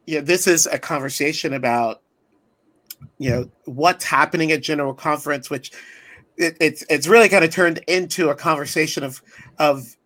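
Man, American accent, fairly high voice, podcast host, casual, hesitant rambling delivery. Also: normal speed, a bit bright. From United States. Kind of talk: casual interview.

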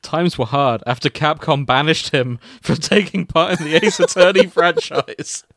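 A man's voice: a little high, fairly even intonation, normal speed, fairly bright tone. A man narrating fan fiction for comedy with casual asides, in an amused manner. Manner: amused, often breaking into laughter.

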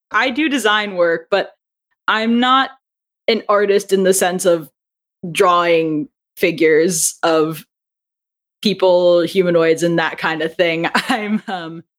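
A woman speaking as a podcast guest in a conversational interview, telling things in a chatty, animated way. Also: on the low side. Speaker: podcast guest, audio drama writer and director.

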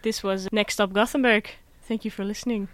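A woman's voice: mid-range voice, steady tone, brisk, dry recording. A young woman from the Netherlands co-hosting a student radio show, chatting casually and giving short encouraging replies. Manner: warm backchannelling, short replies. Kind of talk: casual chat.

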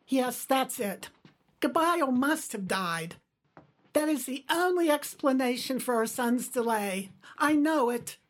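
Woman playing a high-pitched, fretful older mother. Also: expressive, takes it slowly, heavily acted. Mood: despairing, scolding. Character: fretful older mother and wife, dramatic, stubborn. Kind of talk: audio drama acting.

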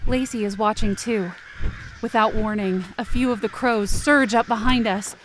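A woman's voice: fairly high pitch, fairly even intonation, normal speed, a little hiss or hum, lightly acted, rough recording. A woman playing a guilt-ridden young woman who narrates an eerie mountain trek in a scripted audio drama. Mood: watchful, tense. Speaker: narrating character in fiction podcast.

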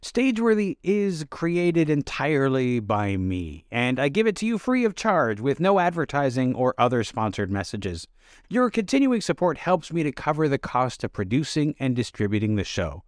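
A man's voice: mid-range voice, very animated, normal speed, no room sound, clean studio sound. A man with a Canadian accent hosting a theater podcast, moving between scripted introductions and conversational interviewing.

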